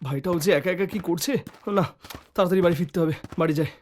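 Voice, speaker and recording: A man's voice: high voice, fast, noisy background, close to an everyday voice. A man voicing an earnest, hardworking village elder brother in a cartoon moral story. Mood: weary.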